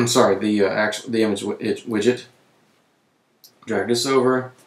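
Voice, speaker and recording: Hoarse man in an echoey room, casual walkthrough. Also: fairly low pitch, unhurried. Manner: casual, self-correcting, clears throat often. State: losing his voice. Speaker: web tutorial presenter. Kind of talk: screen-recorded software walkthrough.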